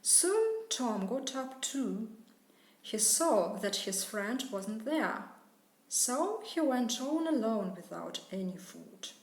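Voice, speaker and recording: Woman, English tutor reading a bedtime tale, slow and clear, echoey room. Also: on the high side, big pitch swings. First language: Russian. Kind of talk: reading a children's fairy tale aloud. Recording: roomy echo.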